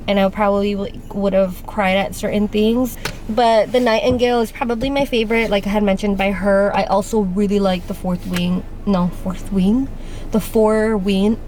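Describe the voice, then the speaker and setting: A woman's voice: mid-range voice, steady tone, normal speed, darkish tone, a little hiss or hum, low-quality recording. A young woman whose first language is Spanish, with a Spanish accent, chatting casually to her camera in a rambling way full of "like" fillers. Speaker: vlogger. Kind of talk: casual chat. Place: car and gym. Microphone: handheld camera.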